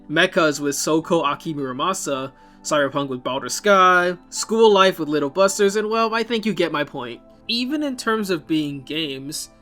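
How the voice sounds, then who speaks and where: A man's voice: fairly high pitch, pushed and bright. A man narrating a scripted video essay as its host, opinionated and given to wry asides, with music playing behind him. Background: background music.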